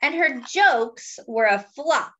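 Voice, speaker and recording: A woman reading a picture book aloud to young children and commenting on the pictures, expressively and with pauses for emphasis. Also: high voice, lively intonation, fairly bright tone, lo-fi sound. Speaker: children's storybook reader.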